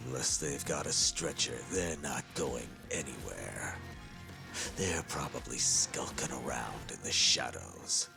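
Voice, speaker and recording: An actor playing a menacing, mocking gang boss in a video game, with a gravelly, theatrical, taunting drawl. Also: male voice, a bass voice, lively intonation, slow pace, full character voice. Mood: mocking menace. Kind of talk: video game cutscene acting. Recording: loud music under the voice.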